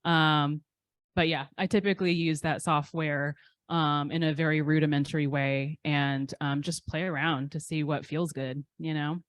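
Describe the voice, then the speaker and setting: A woman in her thirties answers interview questions in a relaxed, candid way. She is self-deprecating and pauses often with ums. Her voice sits low, and the recording is close and dry with no room echo. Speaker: poet guest.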